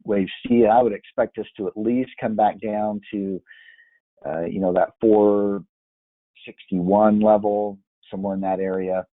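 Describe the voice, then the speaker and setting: A hoarse man walking through market charts on screen, hesitant and full of fillers and restarts. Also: fairly low pitch, little pitch movement, a little slow, dry recording, phone-quality sound. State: hoarse from yelling. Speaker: market analyst. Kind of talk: screen-share chart walkthrough.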